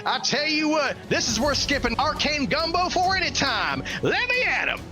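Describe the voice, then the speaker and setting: A man voicing a folksy, excitable swamp fighter eager for battle in a tabletop game. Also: extremely high pitch, normal speed, pitched above the performer's own voice. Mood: gleeful, eager. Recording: loud music behind the voice.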